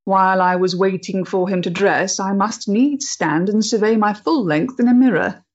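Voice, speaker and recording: An actress playing a proud, emotionally fragile 1890s woman in love, with a measured, theatrical way of talking in a period stage drama. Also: mid-range voice, dull audio, lightly acted. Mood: wry, proud.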